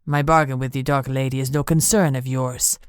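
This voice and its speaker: A woman voicing a cruel male werewolf captor who talks in a low, purring, menacing way. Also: steady tone, fairly quick, clear, resonant tone, dry recording, clean studio sound.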